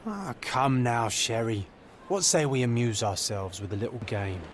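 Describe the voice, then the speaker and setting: A man's voice: mid-range voice, moderate pace, slight background noise, close to an everyday voice. An actor playing a cheerful, teasing companion in a video game, coaxing his friend along. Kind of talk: video game cutscene voice acting.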